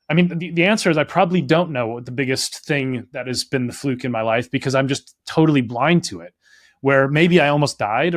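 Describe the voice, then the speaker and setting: Guest author, young man from Minnesota, quick and chatty, dry close sound. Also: medium pitch, no echo. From Minnesota, United States. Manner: fast, animated, full of "like" and "right?". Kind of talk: conversational interview answers.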